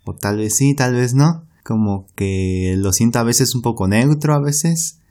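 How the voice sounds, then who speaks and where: A Mexican man co-hosting a podcast for Spanish learners. He talks in a loose, chatty way and piles up repeated words for emphasis. His voice sits at a medium pitch. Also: unhurried, a little mellow. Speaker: Spanish teacher, podcast co-host. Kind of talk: casual podcast chat.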